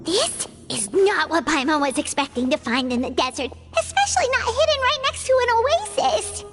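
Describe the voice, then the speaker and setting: A woman voicing a tiny, excitable floating companion in a game who talks about herself in the third person. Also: very high-pitched, moderate pace, over music. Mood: nervous. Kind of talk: game cutscene voice acting.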